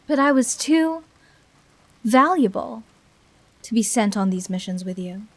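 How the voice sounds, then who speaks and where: A woman playing a bitter, protective fallen hero turned villain in an emotional confessional audio roleplay, speaking deliberately with dramatic pauses. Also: on the high side, expressive. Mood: tender, confessional. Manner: deliberate, dramatic, pausing between short phrases.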